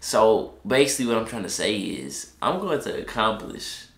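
A man's voice: lowish voice, big pitch swings, moderate pace, creaky. A young man with a stuffed-up nose, hosting a music reaction at home, chatting in a playful, rambling way. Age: young adult. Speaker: reaction channel host. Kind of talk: casual chat. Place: living room at home. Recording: echoey room.

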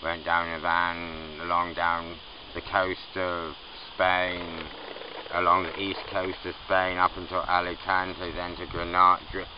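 Low-voiced man rambling through his story. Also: monotone, unhurried. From Scotland. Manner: rambling, self-correcting, hesitant. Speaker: interviewee. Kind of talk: casual storytelling. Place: outdoors under trees in a camp. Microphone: far camera. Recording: muffled distant outdoor recording with noise.

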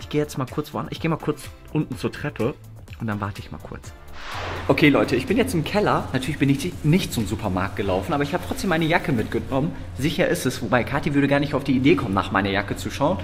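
A young man talking playfully and casually to the camera as a vlog host. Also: fairly high pitch. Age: young adult. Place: small studio room, basement indoors. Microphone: handheld camera at arm's length. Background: background music.

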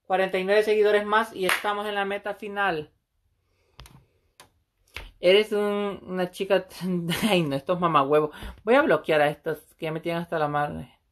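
A woman's voice: fairly low pitch, fairly even intonation, moderate pace, slight background noise. A woman, chatting casually and flirtatiously on a livestream from a small room, with a phone held close. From El Salvador. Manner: brash, flirty, swears freely. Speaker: livestream host. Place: small room indoors, seated on a couch.

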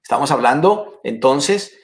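A man's voice: medium pitch, a little slow, gravelly. Male host, 40s, conversational advice to camera, gestures a lot, home office mic. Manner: warm conversational, lively hand gestures. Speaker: financial educator and host. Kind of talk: casual advice talk to camera. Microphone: podcast mic in front.

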